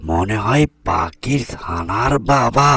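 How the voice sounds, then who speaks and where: Man playing a slow-talking sly old cartoon grandpa. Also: lowish voice, animated, vocal fry, sharp tone, husky, dry recording, exaggerated voice. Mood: nervous, wheedling. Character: sly elderly grandfather, cartoon bird snatcher. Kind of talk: Bengali cartoon voice acting.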